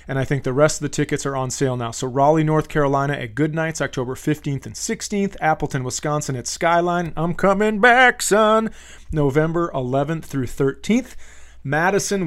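An American man, a comedian and podcast host, talking in a casual, rambling, joking and sometimes profane way. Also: a little high.

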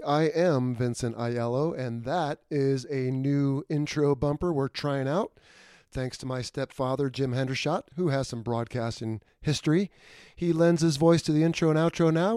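A middle-aged man hosting a podcast in an easygoing, conversational way, often trailing off quietly at the ends of sentences. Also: a little high, normal speed, no room sound, clean studio sound. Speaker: podcast host.

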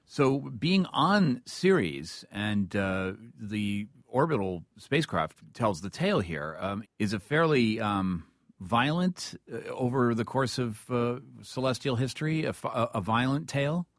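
A man hosting a conversational radio interview, putting his questions in a halting, deliberate way with shifting emphasis. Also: medium pitch, lively intonation, no room sound, studio quality.

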